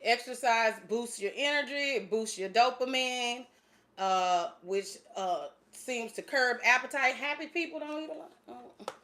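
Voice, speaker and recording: A woman's voice: a little high, animated, unhurried, a bit bright. A woman in her late forties hosting a casual livestream, chatting loosely with frequent fillers and laughs. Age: 40s. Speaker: fitness channel host.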